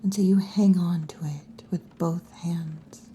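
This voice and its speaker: A wry, muscular tomboy wolf girl bartender, played by a woman in an ASMR roleplay. She teases in a low, mellow, breathy near-whisper and takes her time, with very long, slow phrasing. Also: steady tone, slight background noise. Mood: wry, perceptive. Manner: slow, unhurried, wry and teasing.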